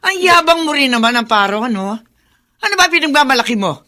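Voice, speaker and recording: A woman's voice: high voice, lively intonation, quick pace, sharp tone. A woman playing a haughty, scornful villain in a melodrama, taunting and insulting with a sneering delivery. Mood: sneering contempt. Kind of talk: Filipino melodrama acting.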